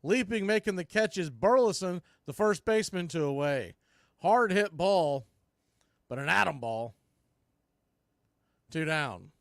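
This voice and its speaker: A man doing live baseball play-by-play for a fan stream, mixing clipped pitch calls with casual, partisan asides. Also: lively intonation, a little slow, long pauses, a bit bright, no echo, clean studio sound.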